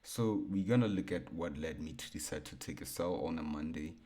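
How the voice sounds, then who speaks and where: Chill young guy, real low baritone, walking thru trades at his computer. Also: brisk, darkish tone. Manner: laid-back, repeats himself, thinks aloud. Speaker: forex trading educator. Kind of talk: casual screen-share chart breakdown.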